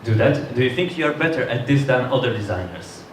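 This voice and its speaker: A man moderating a public talk, speaking English haltingly with many restarts and fillers. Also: medium pitch, lively intonation. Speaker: event moderator. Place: lecture hall. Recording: distant mic in an echoey hall with noise.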